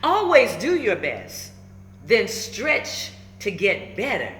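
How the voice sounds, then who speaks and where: A woman reading a children's book aloud, emphatic and instructive, pausing between short phrases and adding encouraging asides. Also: medium pitch, huge pitch swings, fairly bright tone. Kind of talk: animated storybook read-aloud with encouraging asides. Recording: echoey room with faint background noise.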